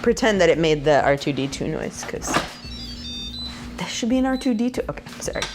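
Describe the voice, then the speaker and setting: Chatty, lively woman cooking at home. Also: deep voice, a little fast, faint music behind. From Puerto Rican and Mexican heritage. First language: Spanish. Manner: chatty, playful, laughs often, talks to viewers. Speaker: cooking vlogger. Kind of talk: casual cooking demo to camera. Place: home kitchen indoors.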